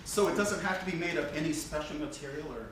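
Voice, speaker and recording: A man's voice: on the high side, quick pace, close to an everyday voice. A man reading a polite, curious, agreeable character in a stage play. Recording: distant, echoey, noisy stage recording.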